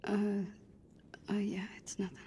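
A young woman playing an insecure, awkward teenage superhero fan in a video game, halting and self-doubting, with a hushed, breathy delivery. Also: mid-range voice, little pitch movement, slight background noise, lightly acted. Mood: anxious, vulnerable. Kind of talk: naturalistic video game acting.

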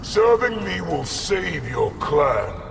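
Gravelly, brutal warlord emperor. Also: male voice, big pitch swings, slow pace, recorded from a distance, over music, full character voice. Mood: domineering. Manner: imperious, menacing, booming. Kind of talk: fighting game dialogue.

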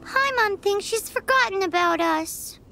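A woman voicing a tiny, childlike floating fairy companion, cheeky and greedy, with a squeaky, childish delivery in a video game. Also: lively intonation, unhurried, creaky, sharp tone, a little hiss or hum, exaggerated voice. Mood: peeved. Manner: squeaky, childish, refers to self by name. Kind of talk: scripted game dialogue.

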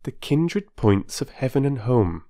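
A reader doing a gentle, earnest lady. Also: sing-song, unhurried, no echo, studio quality. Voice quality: gentle low voice. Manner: patient, slightly superior explaining. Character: gentle, earnest, dutiful middle-aged woman. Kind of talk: audiobook character voice.

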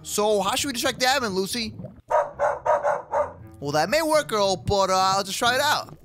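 Man as jokey game adventurer, very high voice, stammering banter over loud music. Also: lively intonation, moderate pace. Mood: upbeat. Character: excitable, wisecracking young adventurer with a pet companion. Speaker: YouTube roleplay creator. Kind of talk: improvised game roleplay acting.

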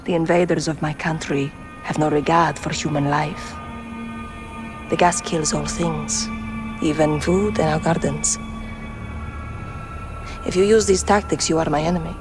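A woman's voice: deep voice, fairly even intonation, measured pace, long pauses. Casting: young female resistance leader, Middle Eastern accent, grave and firm, cinematic war game, music behind. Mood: grave, resolute. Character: resolute young female rebel commander, grave and principled.

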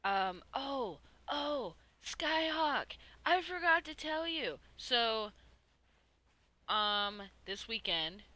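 A woman's voice: a little high, very animated, extremely slow, sharp tone, no room sound. A woman streaming a game and chatting casually, hesitant and rambling, with free swearing. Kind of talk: casual livestream chat while playing.

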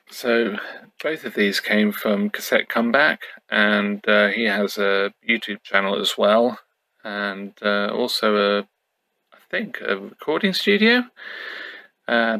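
A man's voice: fairly low pitch, bright, forceful tone, no room sound, rough recording. A middle-aged man giving a casual, unscripted show-and-tell, musing hesitantly as he goes. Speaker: YouTube host. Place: indoors at home.

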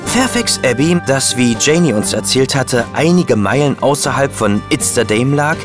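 An actor playing a chronicler who narrates a detective case in a radio play. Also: male voice, mid-range voice, animated, moderate pace, lightly acted. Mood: reflective. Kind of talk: scripted narration. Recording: music behind narration.

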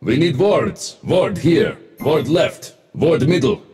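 A man's voice: on the high side, very animated, takes it slowly, dark tone, breathy edge, soft background music, exaggerated voice. A man voicing a smug, wisecracking futuristic mech-suit warrior for a video game, in punchy, boastful lines. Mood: commanding. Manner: boastful, punchy, clipped callouts. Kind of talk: video game voice pack barks.

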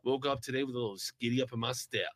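A man hosting a casual comic shop show, joking and excitable between topics. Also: medium pitch, fast, no echo. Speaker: shop owner and host. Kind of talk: casual chat.

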